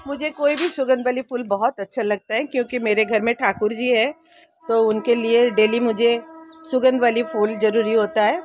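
A woman's voice: fairly high pitch, fairly even intonation, moderate pace, soft background music. A woman in her sixties gives a homely, chatty tour of her rooftop garden, talking straight to her viewers and filming on a handheld phone outdoors. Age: 60s. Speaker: vlogger. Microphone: handheld phone camera.

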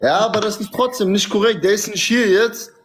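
A man's voice: sits high, brisk, fairly bright tone, a little hiss or hum. A man reasoning with another guest on a phone livestream, patient and persuasive, repeatedly asking him to look at it his way. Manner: persuasive, keeps saying guck mal. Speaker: TikTok live guest. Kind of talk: livestream group chat.

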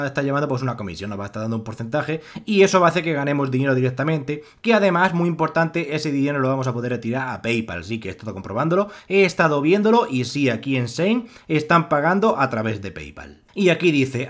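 A young man with an accent from Spain narrating a screen-recorded tutorial, rambling with many fillers and restarts. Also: medium pitch. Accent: Spanish from Spain. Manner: rambling, heavy use of filler "pues" and restarts. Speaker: online money-making tutorial host.

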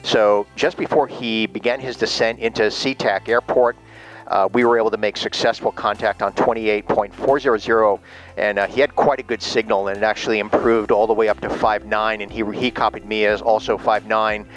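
A man's voice: fairly low pitch, level tone, a little fast, poor audio. A man narrating from his home radio room in a casual, upbeat way with many filler words. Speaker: ham radio operator and private pilot. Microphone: GoPro camera on the desk.

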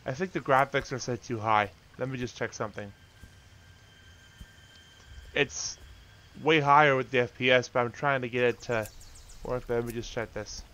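A man's voice: medium pitch, steady tone, normal speed, pauses often, pushed and bright. A man doing casual, offhand gameplay commentary, half talking to himself as he plays. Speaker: gamer host narrating own play.

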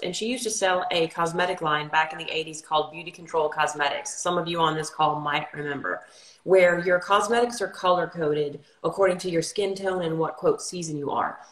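A middle-aged woman from New Orleans, a color consultant, telling her story in a casual livestream interview over a video call. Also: fairly low pitch. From New Orleans, Louisiana. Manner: articulate, steady storytelling. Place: home room, indoors. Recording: echoey room on a video call.

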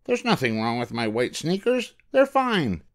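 A man's voice: medium pitch, huge pitch swings, unhurried, pitched above the performer's own voice. A man voicing a grumpy young koala boy in a storybook, growling his complaints and protests.

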